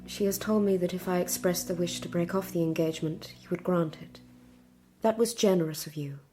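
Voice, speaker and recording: An actress playing a resolute, unhappy young Victorian bride-to-be with an English accent, speaking formally and gravely in a period audio drama. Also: female voice, lowish voice, steady tone, a little fast, dark tone, faint music behind, natural voice. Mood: grave, measured. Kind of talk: period radio drama acting.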